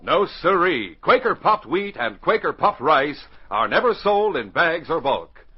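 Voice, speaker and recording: A male announcer from 1940s radio with a fairly high, husky voice. His delivery is dramatic and emphatic, swinging widely in pitch. The recording sounds dull and dated. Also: sing-song, a little slow. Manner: dramatic, emphatic, carefully enunciated. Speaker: announcer and narrator.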